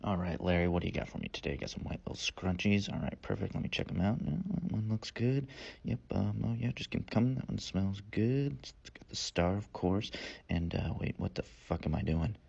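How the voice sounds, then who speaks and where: A man voicing a foul-mouthed, haggling sea otter in a comic animal dub, rambling and muttering in a casual deadpan. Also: low voice, fairly quick, vocal fry, slightly rough, highs cut off, heavily acted. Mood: busy, pleased.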